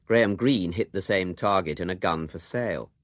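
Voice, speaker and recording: A man's voice: low voice, moderate pace, dry recording, clean studio sound. A British man presenting a scripted radio documentary in a wry, urbane way.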